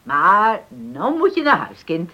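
A woman's voice: lowish voice, huge pitch swings, takes it slowly, bright, forceful tone, muffled recording, exaggerated voice. An actress playing a blunt, devout, protective middle-aged mother in a Dutch radio drama, speaking emphatically and homely. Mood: brisk, urging. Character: plain-spoken, devout mother, defiant and protective.

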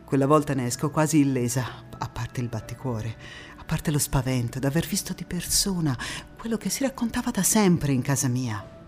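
An actress playing a passionate young Italian antifascist woman, recounting her life in a theatrical monologue with dramatic pauses and emphatic repetitions. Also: rumbling low, animated, darkish tone, faint music behind. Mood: grave, hushed. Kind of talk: theatrical stage monologue, first-person storytelling.

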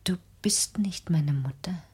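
An actress playing a wounded, probing young daughter in a German radio play, speaking insistently in a hushed, husky, breathy voice. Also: female voice, on the low side, slow pace, full character voice. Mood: hurt, resolute.

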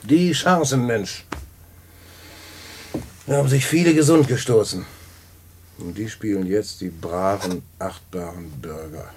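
Low-voiced streetwise crook, played by a man. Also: a little slow, pauses often, a little mellow, a little hiss or hum, close to an everyday voice. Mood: wistful, urging. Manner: slangy, worldly-wise, patronising. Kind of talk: post-war German radio play dialogue.

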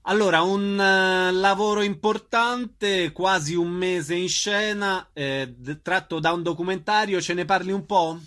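A man's voice: high voice, steady tone, unhurried, few pauses, fairly bright tone. A man hosting a radio interview in an informal, friendly way.

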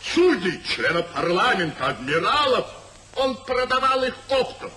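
An actor playing a rich, sentimental old uncle in theatrical 1950s radio comedy, declamatory and full of exclamation. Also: male voice, high-pitched, big pitch swings, unhurried, bright, forceful tone, full character voice. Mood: comic indignation. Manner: declamatory, exclaiming, savours words with comic indignation. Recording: roomy, faintly noisy 1950s radio recording.

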